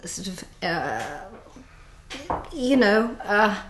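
A woman's voice: medium pitch, big pitch swings. Reflective woman with a Bulgarian accent. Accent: Bulgarian with a New Zealand twang. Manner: reflective, halting, many fillers. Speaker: author guest. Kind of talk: interview conversation. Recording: echoey room, faint noise.

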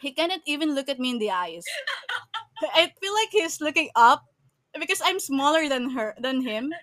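A woman's voice: sits high, big pitch swings, normal speed, sharp tone, dry recording. A woman from the Philippines with a Filipino accent, co-hosting a podcast in casual chat, laughing often and restarting her sentences. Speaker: podcast co-host.

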